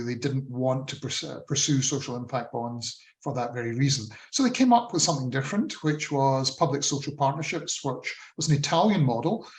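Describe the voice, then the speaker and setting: A man with a Scottish accent presenting slides on a video call, measured and with frequent ums and uhs. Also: mid-range voice, echoey room. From Scotland. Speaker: presenter on a funding model. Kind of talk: talk with screen-shared slides.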